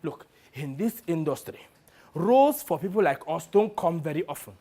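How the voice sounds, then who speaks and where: A man playing a brash, wheedling, money-minded talent agent with a Nigerian accent in a dramatic monologue, animated and full of exclamations. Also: fairly high pitch, moderate pace. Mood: boastful, jovial. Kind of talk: one-person dramatic monologue.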